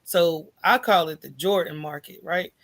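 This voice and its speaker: A woman's voice: lowish voice, moderate pace. An American woman telling her own story as an interview guest in an informal, candid way, often checking in with "right?". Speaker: podcast guest, entrepreneur. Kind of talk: conversational personal storytelling in an interview.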